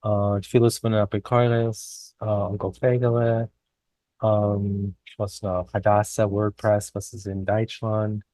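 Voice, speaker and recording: A man interviewed as a guest poet, speaking a learned second language in hesitant, deliberate short phrases with pauses. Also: lowish voice, little pitch movement, dark tone, no echo. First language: not a native speaker of Yiddish. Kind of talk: reflective interview conversation.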